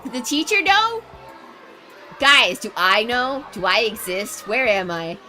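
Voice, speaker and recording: A woman livestreamer reading game narration aloud with casual, animated, jokey commentary. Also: a little high, a little slow, soft background music. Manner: animated, reactive, jokey exclamations.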